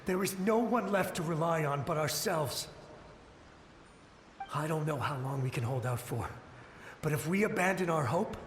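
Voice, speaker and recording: Male actor as a haunted game soldier: high-ish, frantic, pleading; echoey reverb. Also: expressive, a little hiss or hum, close to an everyday voice. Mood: desperate. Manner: desperate, pleading, halting. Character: shell-shocked ghostly soldier, desperate, devoted. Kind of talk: video game dialogue. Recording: echoey, reverberant, faint music.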